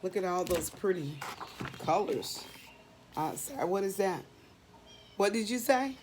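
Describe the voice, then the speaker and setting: A woman's voice: fairly low pitch, lively intonation, a little slow, darkish tone, slight background noise. A middle-aged woman doing a read-aloud storytime for young children, expressively posing questions and answering them, drawing out and repeating words for emphasis. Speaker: children's storybook reader, teacher.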